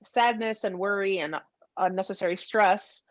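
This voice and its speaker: A woman's voice: mid-range voice, fairly even intonation, moderate pace, dry recording. A woman hosting a podcast, chatting casually and putting questions to a guest. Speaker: podcast host asking questions. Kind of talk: casual chat. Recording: slightly dull, lo-fi sound.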